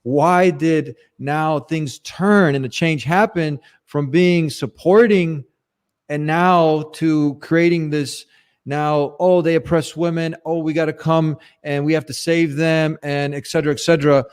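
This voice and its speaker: A man's voice: a little high, fairly even intonation, a little slow, smooth. A man hosting an interview show in a casual, conversational way, informally rephrasing his questions as he goes.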